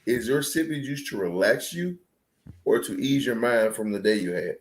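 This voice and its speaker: A man's voice: medium pitch, expressive, normal speed. A man in his late thirties with a playful, ribbing way of talking who keeps steering the chat. A faint hiss sits under his voice. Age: 30s. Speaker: podcast co-host. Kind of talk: casual chat.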